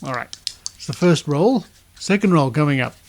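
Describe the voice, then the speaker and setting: A man's voice: fairly high pitch, animated, moderate pace, slight background noise. A middle-aged man with an Australian accent, presenting a podcast casually with conversational asides. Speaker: podcast host.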